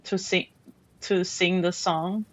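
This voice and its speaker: A woman's voice: fairly low pitch, level tone, takes it slowly. A woman from Mexico with a Mexican accent, streaming a game and chatting casually with her chat, often restarting her sentences. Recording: dull lo-fi stream audio.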